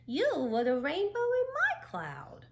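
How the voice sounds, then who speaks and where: A woman voicing Frizzle, a curious, excitable young male creature in a children's storybook. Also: high voice, takes it slowly, muffled recording, exaggerated voice. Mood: excited.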